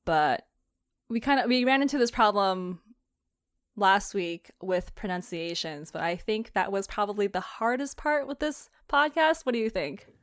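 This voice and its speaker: A woman's voice: medium pitch, expressive, moderate pace, clean tone, dry recording, studio quality. A woman in a casual chat, hosting a podcast and giggling often as she talks. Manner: giggly, often laughs while talking. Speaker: fiction podcast writer and host.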